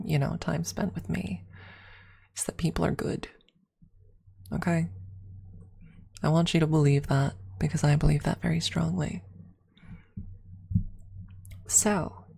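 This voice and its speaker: A woman hosting an ASMR livestream, chatting casually to viewers in a soft-spoken, intimate, rambling way. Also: deep voice, gravelly, breathy edge.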